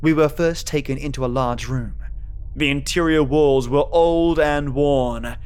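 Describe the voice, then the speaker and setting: A man narrates scripted horror fiction in the first person. His voice sits a bit high for a man, and the read is dramatic. Music plays softly beneath him. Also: a little slow, smooth. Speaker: storyteller. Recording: voice over background music.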